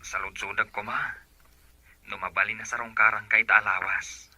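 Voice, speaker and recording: An actor plays a hesitant Filipino suitor in an audio drama. His low, breathy voice has a very sharp edge, and he speaks politely and tentatively in a dry, radio-like recording. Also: fairly even intonation, normal speed, dry recording. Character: hesitant male suitor courting a young woman. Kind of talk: emotive audio drama acting.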